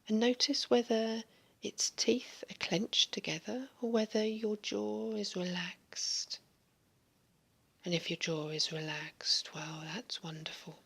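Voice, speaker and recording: A woman guiding a hypnosis session in a slow, soothing, largely whispered voice, giving relaxation suggestions. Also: mid-range voice, fairly bright tone. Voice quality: breathy. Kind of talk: guided hypnosis recording.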